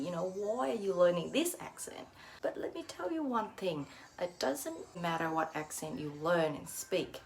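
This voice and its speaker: A woman's voice: lowish voice, poor audio. A woman with an Australian accent and a slight Vietnamese touch, teaching English in a casual vlog from home with many uh's and you know's. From Vietnamese background, lives in Australia. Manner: encouraging, many fillers like uh and you know. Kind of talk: casual direct-to-camera teaching. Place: at home indoors.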